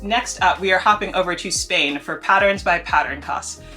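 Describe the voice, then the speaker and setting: Woman, young, bright voice, stuffy nose, chatty, soft music behind. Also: fairly low pitch, normal speed. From United States. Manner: enthusiastic, chatty, with fillers like um and like. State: congested from allergies. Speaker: sewing vlogger. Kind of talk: casual upbeat vlog talk.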